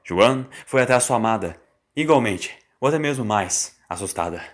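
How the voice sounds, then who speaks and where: A man's voice: on the low side, lively intonation, normal speed. A man with a Brazilian accent reading a scripted, dramatic story as its narrator. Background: music.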